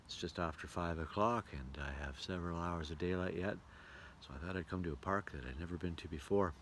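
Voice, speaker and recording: A man's voice: low voice, a little fast, fairly bright tone. A man chatting casually to camera as a travelling entertainer keeping a video diary. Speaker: touring radio-show entertainer and vlogger. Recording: outdoor recording with clear background noise.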